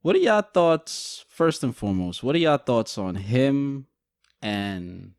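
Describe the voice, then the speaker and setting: A man's voice: mid-range voice, very animated, slow, a little mellow, clean tone, no room sound, studio quality. A young man from Guyana co-hosting a podcast, blunt and joking as he poses questions in casual chat. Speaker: podcast co-host.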